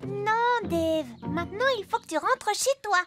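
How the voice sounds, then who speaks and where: A woman's voice: extremely high pitch, lively intonation, a little slow. A woman playing a sweet, cheerful little fairy princess with a childlike, earnest manner in a children's cartoon. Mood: caring. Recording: music under the voice.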